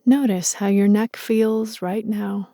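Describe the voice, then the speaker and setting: A woman leading a guided meditation in a slow, soothing and gentle way. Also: mid-range voice, steady tone, no echo, clean studio sound.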